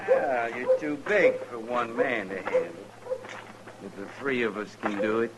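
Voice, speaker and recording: An actor with an American accent playing a hot-tempered, possessive rancher in a 1950s radio western, curt and rough-spoken. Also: male voice, mid-range voice, very animated, a little slow. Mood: bullying. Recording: old radio recording with faint noise.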